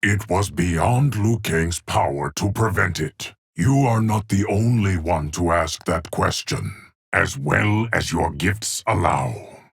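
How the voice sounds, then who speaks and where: A man playing a stern, ancient keeper of time in a fighting video game, with a gravelly, husky voice and a formal, portentous delivery. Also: low-pitched, expressive, takes it slowly, no room sound, studio quality, exaggerated voice. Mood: solemn, measured. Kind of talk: dramatic fighting game character lines.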